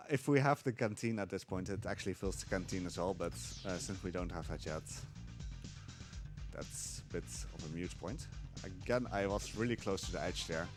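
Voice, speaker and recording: Low-voiced Dutch speedrunner. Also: male voice. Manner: casual explaining with fillers. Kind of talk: live speedrun commentary.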